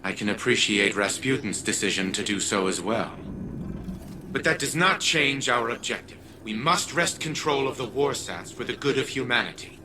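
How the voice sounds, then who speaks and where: An actor playing a haughty, calculating old scientist in a video game, speaking formally and imperiously over music. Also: male voice, medium pitch, lively intonation, moderate pace, background noise, lightly acted. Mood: measured, self-assured. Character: haughty, calculating elderly male scientist patriarch. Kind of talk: video game cutscene acting. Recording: music behind the voice.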